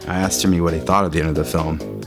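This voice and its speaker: A man with a low voice reading scripted literary fiction as a narrator. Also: expressive, quick pace. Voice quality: low-pitched. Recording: music under the voice. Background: guitar music.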